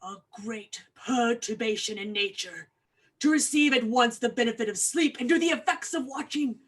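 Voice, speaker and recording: A woman playing a troubled, watchful doctor in a grave, dramatic Shakespearean reading, husky and at times hushed. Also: on the high side, moderate pace. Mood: probing. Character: troubled, watchful doctor observing a sleepwalking patient. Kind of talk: Shakespearean acting in an online staged reading.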